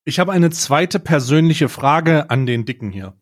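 A man chatting casually as a podcast co-host, deliberate and mock-serious in how he puts things. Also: on the high side, expressive. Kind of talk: casual chat.

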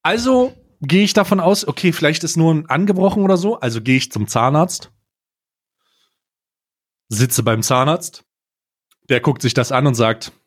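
A man's voice: fairly high pitch, normal speed, long pauses, breathy edge. A man telling anecdotes casually as a podcast co-host, blunt and slangy, dropping in bits of English.

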